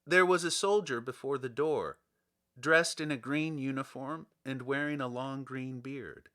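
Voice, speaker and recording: A man's voice: mid-range voice, a little slow, sharp tone. A man reading a children's storybook aloud as scripted audiobook narration. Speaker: audiobook reader.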